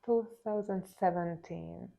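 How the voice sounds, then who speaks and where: A woman from Hungary with a Hungarian accent, speaking English as a second language in a casual interview, thoughtful and halting with frequent restarts. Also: lowish voice, vocal fry, narrow, tinny audio, slight background noise. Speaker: interview guest.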